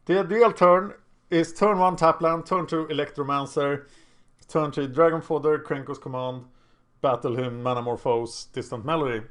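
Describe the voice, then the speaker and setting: A man's voice: a little high, moderate pace, fairly bright tone. A man with a Swedish accent, a native Swedish speaker, thinking aloud and chatting as he commentates his own card game.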